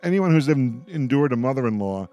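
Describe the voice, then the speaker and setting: Male talk host, mid-pitched, casual and emphatic, circles back over his words. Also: expressive, a little fast, warm tone, lo-fi sound, faint music behind. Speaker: radio host moderating a roundtable. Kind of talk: casual roundtable chat.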